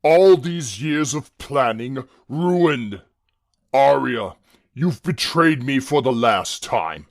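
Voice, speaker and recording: An actor playing a scheming, theatrical villain in a musical audio drama, furious at being thwarted. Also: male voice, medium pitch, expressive, slow, dry recording, studio quality.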